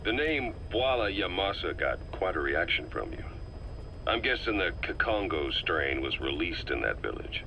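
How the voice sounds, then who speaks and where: A man playing a shrewd, gruff intelligence officer in a video game, probing and matter-of-fact. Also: low-pitched, big pitch swings, normal speed, pushed and bright, full character voice. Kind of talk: dramatic video game voice acting. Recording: faintly noisy field tape.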